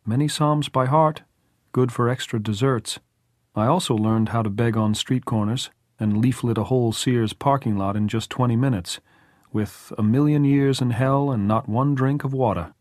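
A man's voice: medium pitch, moderate pace, darkish tone, no room sound, natural voice. A man playing a wry, practical man with a dry manner in an audiobook. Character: wry, practical man, gently weary, mildly profane.

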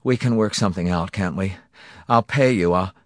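A man's voice: low voice, moderate pace, no echo, clean studio sound, lightly acted. A man voicing a frightened, weak-willed male everyman in an audiobook, pleading and stammering. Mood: desperate. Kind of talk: audiobook character acting.

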